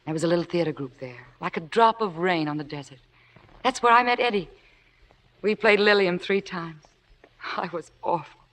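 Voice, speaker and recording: An actress playing a demure, earnest young theatre fan, humble and polite, in an old radio drama. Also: female voice, on the low side, normal speed, a bit bright, natural voice. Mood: wistful. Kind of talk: 1940s-50s radio drama acting. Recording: old radio broadcast recording.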